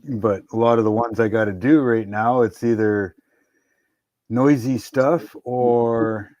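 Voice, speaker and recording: A man hosting a casual livestream from his workshop, talking in a slow, easygoing, rambling way about his projects. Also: medium pitch, steady tone, a little mellow, no room sound. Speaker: livestream host in a garage workshop.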